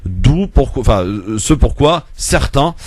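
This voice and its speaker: A man's voice: medium pitch, big pitch swings, a little slow, no room sound. A male radio host moderating a debate, firm about turn-taking and hesitant with many filler sounds as he explains.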